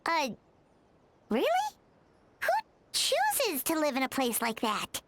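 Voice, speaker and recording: A woman voicing a tiny, excitable girl companion in a video game, chirpy and quick to blurt out reactions. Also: high voice, very noisy, heavily acted.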